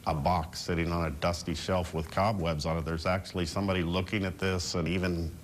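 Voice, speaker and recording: A man's voice: deep voice, little pitch movement, a little fast, a little hiss or hum. A middle-aged man with an American accent speaking spontaneously as a news interviewee. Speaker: interviewee, victim's brother.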